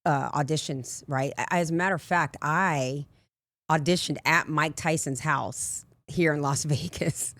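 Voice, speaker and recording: A raspy woman in her forties, a life coach telling candid personal stories in a casual interview. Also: low-pitched, measured pace, fairly bright tone, dry recording. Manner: candid, rambling storyteller with frequent asides. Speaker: life coach, podcast guest.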